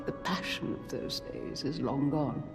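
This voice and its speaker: A woman voicing an elderly, genteel, nostalgic lady in a video game, breathy and reflective. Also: extremely low pitch, measured pace, music in the background, heavily acted. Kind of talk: scripted game drama.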